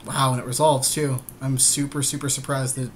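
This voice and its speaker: A man's voice: mid-range voice, little pitch movement, a little fast. A man chatting casually about a game in a laid-back, agreeable, slangy way. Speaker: podcast co-host playing a card game. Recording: faint background noise.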